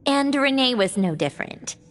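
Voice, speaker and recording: A woman voicing a gentle, wistful young lady in an anime-style video game, with long reverb. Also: high-pitched, expressive, normal speed, soft background music. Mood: fond.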